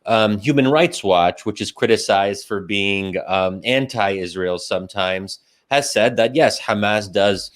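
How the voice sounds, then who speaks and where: Measured, low-voiced man talking. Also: a bit bright. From United States. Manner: careful, qualifying, frequent ums and restarts. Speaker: podcast guest. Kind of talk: measured interview answers.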